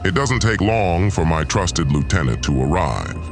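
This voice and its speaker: A man's voice: low voice, big pitch swings, a little slow, music in the background. A man delivering scripted first-person narration for an audio drama in a theatrical, brooding manner.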